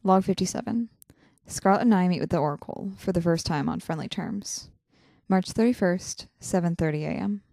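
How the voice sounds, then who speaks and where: An actress playing a terse young vigilante narrating a log in an audio drama, matter-of-fact. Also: female voice, on the low side, moderate pace, gravelly, warm tone, dry recording, studio quality.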